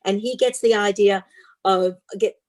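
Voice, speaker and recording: A woman's voice: medium pitch, fairly even intonation, a little slow, darkish tone. A woman speaking as a guest author, with an emphatic, rhythmic delivery suited to sharing and reading storybooks. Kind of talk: interview talk and expressive storybook read-aloud.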